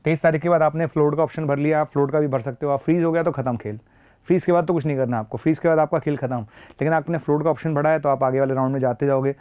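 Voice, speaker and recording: A man's voice: fairly high pitch, steady tone, rapid-fire, clear, resonant tone, dry recording, phone-quality sound. A man with a sore throat explaining an admissions process as a teacher, repeating key points emphatically and mixing in phrases. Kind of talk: explanatory lesson.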